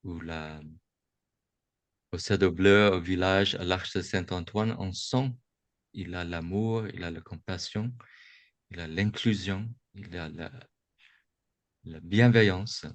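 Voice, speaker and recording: A man from the United States gives a reflective Buddhist talk to young adults over a video call, slow and halting, often restarting his sentences. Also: deep voice, fairly even intonation, no room sound. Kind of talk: reflective dharma talk on a webinar. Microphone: video call microphone.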